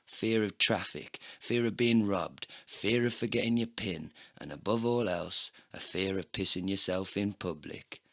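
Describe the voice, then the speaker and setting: A man's voice: low voice, a little slow, gravelly, hardly any pauses, fairly bright tone, heavily acted. A man playing a bitter, despairing, foul-mouthed drinker in a comedy audio play, ranting and exasperated.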